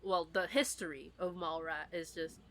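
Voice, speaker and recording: A woman's voice: fairly low pitch, fairly even intonation, normal speed, a bit bright. A woman in a casual, rambling fan discussion, talking as a podcast co-host.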